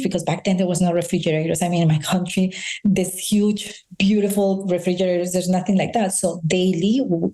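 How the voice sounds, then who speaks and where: A woman's voice: medium pitch, dark tone, poor audio. A Spanish-speaking woman from Colombia with a Colombian accent, telling personal stories earnestly as a guest in a conversational interview. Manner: earnest storytelling, frequent restarts and "you know". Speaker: integrative health practitioner, guest.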